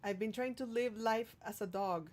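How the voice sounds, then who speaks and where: A woman's voice: on the high side, moderate pace, a little mellow, a little hiss or hum. A woman hosting a livestream, reading out viewer comments and chatting casually in a playful, affectionate way. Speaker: streamer hosting a livestream, singer-songwriter.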